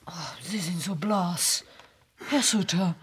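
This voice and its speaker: A very husky, breathy middle-aged cleaning woman in a radio play. She is motherly and anxious, fussing with concern as she talks. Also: on the low side, takes it slowly, full character voice. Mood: pleading, worried. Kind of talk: radio drama acting.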